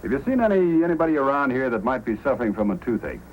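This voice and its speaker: An actor playing a calm, courteous police sergeant who questions methodically, in old-time radio style. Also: male voice, medium pitch, rapid-fire, phone-quality sound, close to an everyday voice. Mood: businesslike. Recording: noisy old radio recording.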